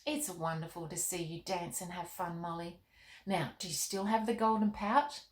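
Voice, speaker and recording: Woman reading as a gentle, majestic storybook king. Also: on the low side, fairly even intonation, reverberant, natural voice. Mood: reassuring. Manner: measured, gentle, instructive. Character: kindly, majestic, reassuring king in a children's storybook.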